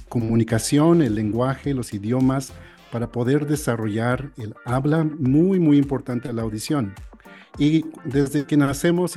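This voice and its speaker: American guy speaking spanish, mellow low-key tone, lots of restarts and ums, faint music under it. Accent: American English. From United States. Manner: halting, frequent restarts and fillers. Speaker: audiologist guest. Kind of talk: interview, explaining.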